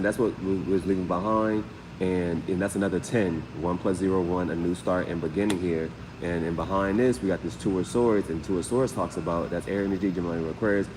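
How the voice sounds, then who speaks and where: A man's voice: low-pitched, noisy background. A man hosting a live tarot reading, talking in a casual, rambling, conversational way with frequent filler phrases. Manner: rambling, conversational, repeats "you know what I'm saying".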